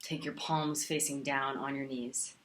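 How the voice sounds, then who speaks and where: A woman teaching a guided meditation in a calm, soothing manner, leaving long pauses between phrases. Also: very deep voice.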